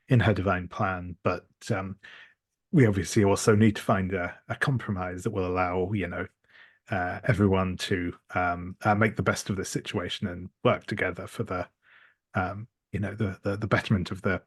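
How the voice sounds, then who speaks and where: A man playing a tabletop roleplaying game, improvising his character's words in halting, diplomatic, measured phrases. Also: low voice, steady tone, slightly rough, no echo, clean studio sound. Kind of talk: casual improvised roleplay description.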